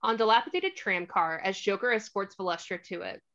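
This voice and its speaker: A woman's voice: medium pitch, fairly quick, fairly bright tone. A woman reading scripted stage directions as narrator of a screenplay table read, on a slightly dull microphone.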